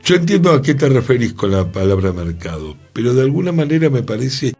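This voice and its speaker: A man's voice: mid-range voice, very animated, brisk, darkish tone, faint music behind. A man with a Rioplatense Spanish accent, musing aloud on a radio talk show in a thoughtful, questioning way. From Argentina. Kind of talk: reflective radio conversation. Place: radio studio.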